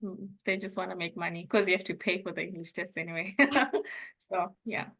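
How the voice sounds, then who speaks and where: A woman's voice: fairly low pitch, steady tone, moderate pace, pushed and bright. A young woman from Zimbabwe, a former international student, chatting casually and hedging her points with frequent laughs.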